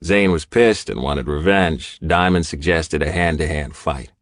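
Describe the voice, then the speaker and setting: A man narrating gritty first-person fiction as an audiobook, with an intense, deliberate delivery. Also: a bass voice, a bit bright, no echo, studio quality.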